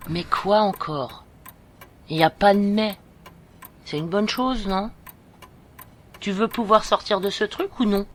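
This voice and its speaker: A woman plays a young female friend in an audio drama, with a medium-pitched voice. She is blunt, informal and encouraging, coaxing with bossy care over faint background noise. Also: takes it slowly, long pauses, close to an everyday voice. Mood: firm. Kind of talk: amateur French audio fiction dialogue.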